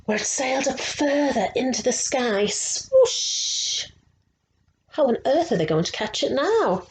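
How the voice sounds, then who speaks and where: A woman reading a picture book aloud to children, slow and expressive, stopping to ask them questions. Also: sits high, slight background noise, low-quality recording. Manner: slow and expressive, asking the young listeners questions.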